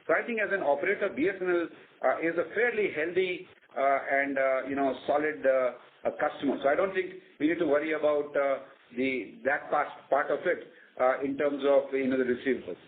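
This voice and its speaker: A man with an Indian accent answering as a company executive on an earnings call, explaining in a measured, confident way. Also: a little high. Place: conference phone call. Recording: narrow phone line with faint noise.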